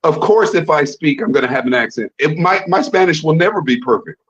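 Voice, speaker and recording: A man's voice: on the high side, expressive, brisk, fairly bright tone, breathy edge, muffled recording. A man from the United States with an American accent, talking matter-of-factly in a travel video.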